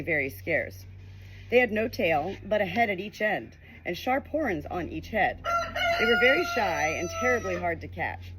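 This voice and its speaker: A woman reads a children's storybook aloud. Her narration is expressive and informal, with lively rises and falls in pitch. A faint background noise runs behind her. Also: poor audio. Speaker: audiobook reader.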